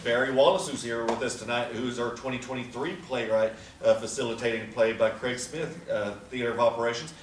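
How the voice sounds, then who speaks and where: A man making casual announcements to a theater audience, chatty and teasing. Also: medium pitch. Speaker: theater company organizer. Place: theater or event room. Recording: roomy, from far off, faint noise.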